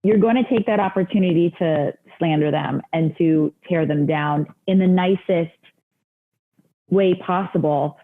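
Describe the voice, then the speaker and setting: A woman's voice: fairly low pitch, a little slow, narrow, tinny audio, a little hiss or hum. A woman podcast host in casual chat, encouraging and reflective, talking in long run-on thoughts.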